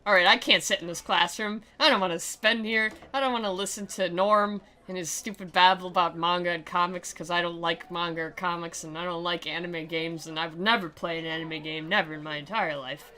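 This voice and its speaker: A woman's voice: on the low side, fairly even intonation, a little fast, few pauses, sharp tone. A woman giving sarcastic, theatrical commentary as she plays and reads aloud from a video game, in a mocking, giggle-prone way. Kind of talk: sarcastic playthrough commentary with dramatic reading.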